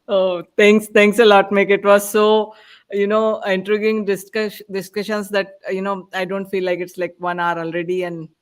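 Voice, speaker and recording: A woman's voice: mid-range voice, little pitch movement, normal speed. A woman co-hosting a podcast panel, talking in an informal, chatty way. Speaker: podcast co-host.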